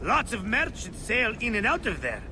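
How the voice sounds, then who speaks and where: Give me a hearty game sea captain, very high-pitched and swinging in tone, with noisy boat ambience behind him. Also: male voice, wildly swooping pitch, normal speed. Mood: easygoing, confiding. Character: brash seasoned ship captain, exuberant and hearty. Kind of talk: dramatic video game acting.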